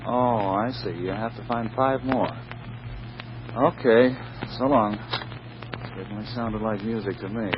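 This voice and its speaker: An actor playing an eager, gullible young heir in a 1940s radio drama, excitable and earnest. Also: male voice, medium pitch, natural voice. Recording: muffled noisy 1940s radio recording.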